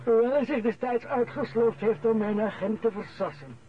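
A wry, grumbling middle-aged Flemish director in a radio play. His voice runs a bit high and he talks bluntly. The sound is narrow and thin, with some noise. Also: animated, normal speed. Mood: wry, unworried. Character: grumbling, easygoing older director five years from pension. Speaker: actor. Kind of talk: radio drama dialogue. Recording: thin, band-limited, noisy radio recording.

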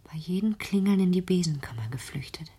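An actress playing a fearful, reclusive daughter in a German radio drama, speaking in a hushed, brooding, intense way with a whispery voice. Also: female voice, on the low side, expressive, brisk. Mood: fearful, paranoid. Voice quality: breathy, whispery. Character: fearful, paranoid, reclusive daughter haunted by persecution.

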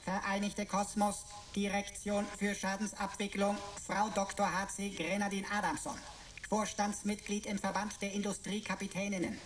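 A woman's voice: mid-range voice, flat delivery, normal speed, over music, close to an everyday voice. A woman playing a cheeky talking pocket computer in a German radio play, flowery, ironic and mock-formal. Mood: officious, flowery.

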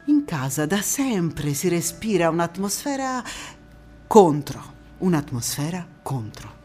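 A woman playing a proud, defiant anti-fascist partisan who recalls her youth in a theatrical monologue, with measured phrasing and deliberate pauses. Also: lowish voice, big pitch swings, warm tone, slightly rough, faint music behind, exaggerated voice. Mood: proud, grave. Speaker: stage actress in a one-woman monologue. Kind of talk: theatrical first-person storytelling monologue.

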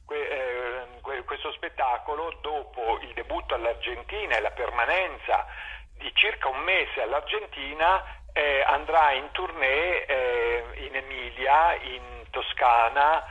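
A man's voice: mid-range voice, measured pace, breathy edge. A man speaking as a theatre director interviewed on the radio by telephone, hesitant and searching for words. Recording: muffled, band-limited telephone line.

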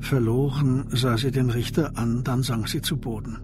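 A man's voice: medium pitch, fairly even intonation, normal speed. Male radio drama narrator over music. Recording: music behind the voice.

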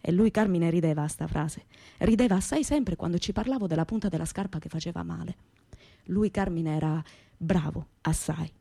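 A woman's voice: fairly low pitch, moderate pace, vocal fry, dark tone, breathy edge, no room sound. An actress playing a plain-spoken, proud young working-class woman with a Calabrian accent, telling her memories in earthy, colloquial radio theatre. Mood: wistful, tender. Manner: earthy, colloquial, repetitive folk storytelling. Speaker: stage actress performing a monologue. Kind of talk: dramatic first-person storytelling in dialect-tinged Italian radio theatre.

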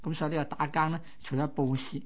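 A man's voice: on the high side, little pitch movement, fast talker. A man with a Hong Kong Cantonese accent co-hosting, telling local history in a colloquial, explanatory way. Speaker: radio co-host. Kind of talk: conversational history storytelling.

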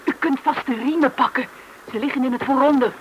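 An actor playing a matter-of-fact, obliging sailboat skipper who gives practical instructions in a radio play. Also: male voice, extremely high pitch, a little fast, muffled recording. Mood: busy, calling out. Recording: noisy old radio play recording.

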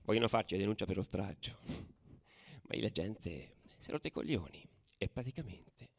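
A man's voice: lowish voice, animated, moderate pace, creaky, husky, full character voice. A man playing a tough football ultra, telling his story as an intense, colloquial radio monologue. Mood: tense storytelling. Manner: colloquial, intense, swinging from hushed to shouted. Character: tough young male football ultra recounting his exploits.

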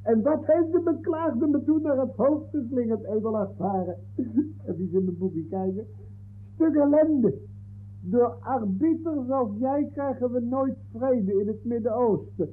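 Aggrieved pedantic football referee, very high-pitched, indignant, tinny 1960s radio comedy. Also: male voice, lively intonation, unhurried, husky, heavily acted. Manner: indignant, reads out notes, protesting. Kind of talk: 1960s comic radio play acting. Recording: tinny, narrow-band 1960s radio recording.

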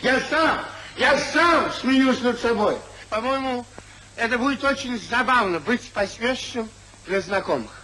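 An actor playing a testy, wounded old husband in a 1950s radio comedy of manners, grumbling with bitter theatrical sarcasm. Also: male voice, very high-pitched, animated, unhurried, bright, forceful tone, slight background noise, exaggerated voice, rough recording. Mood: bitterly sarcastic. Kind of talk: 1950s Soviet radio play acting.

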